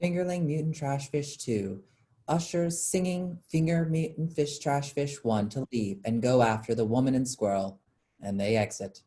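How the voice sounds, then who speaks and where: Female stage-directions reader for a play reading, deep-toned, scripted narrator delivery. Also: moderate pace, mellow.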